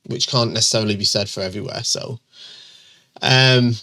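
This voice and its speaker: Middle-aged male host with a bunged-up, stuffy voice from a cold; bright, forward tone, casual and rambling. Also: on the low side, steady tone, moderate pace. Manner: chatty, many ums and restarts, reads questions aloud. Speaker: host answering viewer questions. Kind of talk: casual chat.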